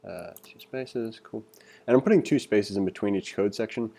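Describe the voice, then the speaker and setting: A man's voice: on the low side, normal speed, gravelly, warm tone. A man giving a casual screencast tutorial, reading along and thinking aloud in an informal, conversational way as an instructor.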